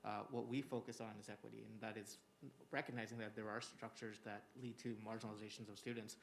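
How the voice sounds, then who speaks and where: Fast, flat-toned man presenting to a board. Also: lowish voice, creaky, a little hiss or hum. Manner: steady, earnest, with many "uh" fillers. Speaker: school district administrator. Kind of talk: formal presentation to a school board. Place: public school board meeting room.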